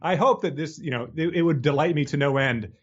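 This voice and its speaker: A man's voice: fairly high pitch, a little fast, slightly rough, highs cut off. A man co-hosting a podcast in a casual, joking style, riffing comically on a book. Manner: joking, riffing, chuckles through talk. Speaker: podcast co-host.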